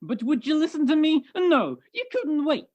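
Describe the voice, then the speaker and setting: Woman acting wisecracking old police sergeant, lively pitch. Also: moderate pace. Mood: accusing. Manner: tough-guy slang, needling and doubting. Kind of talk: 1940s radio detective play. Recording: muffled video-call recording.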